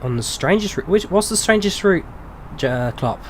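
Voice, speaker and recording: A young Englishman in his twenties with a slightly higher voice, talking to his live chat in a loose, easygoing way. He says um and uh often, and a faint hum sits under his voice. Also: normal speed. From England. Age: 20s. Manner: relaxed, chatty, answers chat questions with frequent ums. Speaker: flight sim streamer. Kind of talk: casual live-stream chat with viewers.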